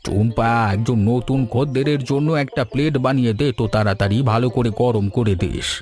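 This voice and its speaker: A man voicing a kindly, patient old grandfather who runs a food shop, gentle and earnest, in a cartoon story. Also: medium pitch, fairly quick, faint music behind, natural voice. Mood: kindly, grateful. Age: older.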